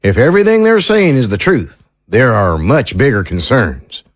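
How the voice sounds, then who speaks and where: A man's voice: mid-range voice, huge pitch swings, normal speed, dry recording. A man playing a level-headed sheriff in an audio drama, respectful but firm. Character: level-headed male sheriff advising the mayor.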